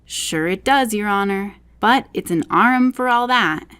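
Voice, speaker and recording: A woman's voice: medium pitch, a little slow, a bit bright, clear, resonant tone, no room sound, close to an everyday voice. A woman voicing a humble, reluctant male animal servant in a storybook, folksy and deferential as he protests.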